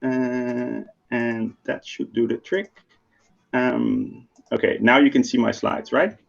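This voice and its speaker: A man's voice: mid-range voice, fairly even intonation, slow pace, creaky, dull audio. A man from the Netherlands with a slight Dutch accent, giving an online keynote in a conversational way and often asking "right?". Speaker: keynote speaker. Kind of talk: online lecture. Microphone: video call.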